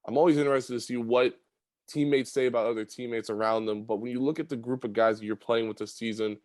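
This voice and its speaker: A man hosting a high school basketball podcast, asking long questions padded with fillers. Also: fairly even intonation, fast talker, darkish tone, dry recording, studio quality. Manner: chatty, filler-heavy questions. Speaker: podcast host. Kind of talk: sports interview podcast.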